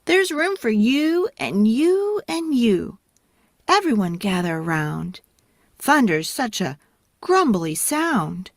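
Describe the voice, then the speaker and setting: A woman's voice: on the high side, very animated, slow, no room sound, studio quality, voice pushed up, full character voice. A woman doing a cheerful little truck. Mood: welcoming. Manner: bright, welcoming, sing-song. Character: friendly little blue truck, cheerful and kind.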